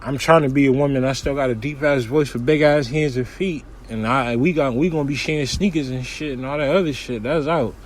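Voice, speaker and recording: A young man from hosting a casual solo podcast from his kitchen, giving news commentary in a relaxed, slangy way with lots of shout-outs. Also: medium pitch, a little hiss or hum, rough recording. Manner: laid-back slangy talk with frequent shout-outs. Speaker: podcast host.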